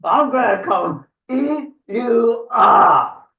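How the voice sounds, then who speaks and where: A man voicing a hungry, menacing storybook troll who guards a bridge, bellowing his threats in long drawn-out phrases. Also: extremely high pitch, animated, gravelly, far from the mic, lo-fi sound, pitched above the performer's own voice, heavily acted. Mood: angry, exasperated. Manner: shouting, drawn-out threatening questions. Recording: echoey room, off the mic.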